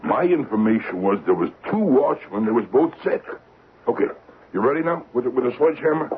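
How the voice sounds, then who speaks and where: A thin, hissy old radio recording carries a man acting a polite burglar boss. He rambles genially in a mid-pitched, very lively voice, practical and apologetic with rough grammar. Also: a little fast, close to an everyday voice. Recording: narrow-band, hissy old radio broadcast.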